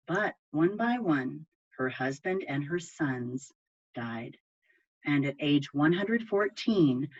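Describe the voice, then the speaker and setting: Husky, expressive woman storyteller, dull recording. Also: fairly low pitch. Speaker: library presenter. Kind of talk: book talk and storytelling for children. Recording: dull, lo-fi sound.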